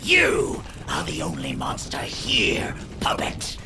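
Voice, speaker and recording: A man voicing a vengeful, imperious armored reptilian villain in a video game, with a gravelly, theatrical delivery. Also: low voice, huge pitch swings, in a whisper, over music, heavily acted. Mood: enraged. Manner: slow, menacing, theatrical. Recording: rain and noise behind the voice.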